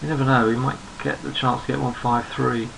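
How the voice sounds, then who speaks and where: A hoarse man who is losing his voice, talking through market charts in an unhurried, think-aloud way and often repeating words as he goes. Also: mid-range voice, steady tone, background noise. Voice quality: gravelly. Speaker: trading analyst. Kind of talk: screen-recorded market chart commentary.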